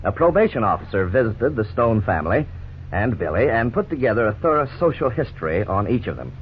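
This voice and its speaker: A middle-aged man playing a lawyer who narrates and explains the case in a measured, formal way, in 1950s radio drama style. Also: on the low side, very animated. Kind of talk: scripted narration. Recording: scratchy 1950s radio broadcast, tinny, faint hiss.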